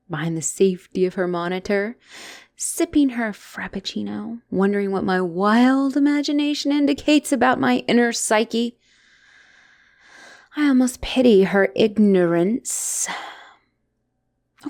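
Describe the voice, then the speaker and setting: A woman playing an obsessive, lovestruck asylum patient in a dramatic roleplay audio, theatrical and doting with a menacing edge. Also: mid-range voice. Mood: smug, hushed. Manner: theatrical, doting, swinging between gushing and hushed menace.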